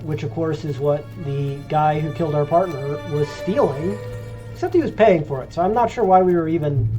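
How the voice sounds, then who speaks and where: A man's voice: a little high, dark tone, rough recording. Stuffy-nosed man streaming a game. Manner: chatty and joking, rambling recaps. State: congested, stuffy and phlegmy. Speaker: let's play streamer. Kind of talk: casual gameplay commentary. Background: game music.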